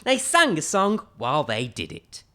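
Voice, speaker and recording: A woman's voice: deep voice, wildly swooping pitch, moderate pace. A woman storyteller reading a children's storybook expressively, with theatrical, emphatic phrasing.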